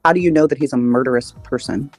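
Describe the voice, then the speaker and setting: A mid-pitched speaker challenges someone with direct, unscripted questions. The sound is dry and close, with faint music behind. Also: fast, darkish tone, dry recording.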